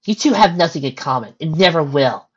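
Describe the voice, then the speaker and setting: Earnest young female pony, voiced by a woman, emphatic, very lively pitch, easily indignant, storybook reading. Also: a little fast, slightly rough, lo-fi sound. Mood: firm. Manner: emphatic, sincere.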